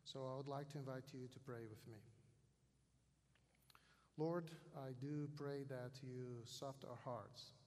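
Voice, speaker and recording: A man with a South American accent, a non-native English speaker, preaching as a guest missionary in a halting way, repeating phrases for emphasis. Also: medium pitch, steady tone, a little mellow. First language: not English. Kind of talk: sermon. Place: large hall or church, speaking to an audience. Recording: long hall reverb over PA system.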